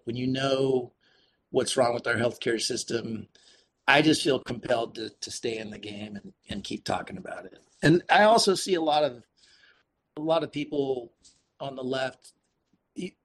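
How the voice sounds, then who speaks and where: Male podcast guest, earnest and conversational, halting delivery, ordinary mid pitch, faint noise behind the voice. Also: creaky, fairly bright tone. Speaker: podcast guest, activist and author. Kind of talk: casual conversational interview answers.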